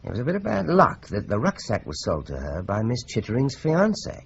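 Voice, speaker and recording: An actor playing a calm detective who explains a murder case in a measured way. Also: male voice, mid-range voice, creaky, muffled recording, a little hiss or hum. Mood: explaining.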